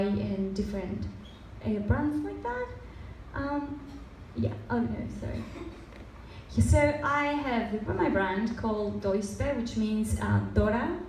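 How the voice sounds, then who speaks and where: A woman's voice: medium pitch, darkish tone. Mexican woman speaking in a roomy hall. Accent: Mexican, with some Australian. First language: Spanish. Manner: many fillers and restarts, loose and chatty. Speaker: design presenter. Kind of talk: casual talk with slides. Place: event room. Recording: roomy, distant, faint noise.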